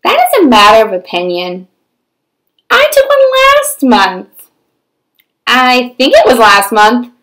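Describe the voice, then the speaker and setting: Lady doing a stubborn drama queen cartoon pigeon from a picture book, really high, super animated. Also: slow, pauses often, heavily acted, low-quality recording. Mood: defensive, indignant. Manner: exaggerated, theatrical delivery. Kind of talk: animated storybook read-aloud.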